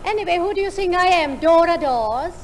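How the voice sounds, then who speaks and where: A woman's voice: extremely high pitch, lively intonation, a little fast. A woman playing a glamorous, vain, flirtatious film star in a stage comedy sketch, speaking in a theatrical, grandly teasing way. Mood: haughty. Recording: noisy 1950s theatre recording, long hall reverb.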